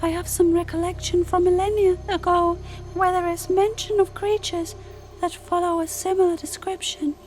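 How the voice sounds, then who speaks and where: A woman voicing an ancient, self-righteous celestial sister in an audio drama, with a formal, measured, lecturing manner. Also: very high voice, flat delivery, darkish tone, a little hiss or hum. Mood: grave, explanatory.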